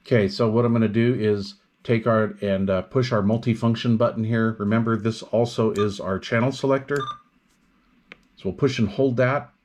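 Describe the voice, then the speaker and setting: Man giving a casual, unhurried product demonstration. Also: medium pitch, darkish tone. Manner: unhurried, explanatory, step-by-step. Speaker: radio equipment reviewer.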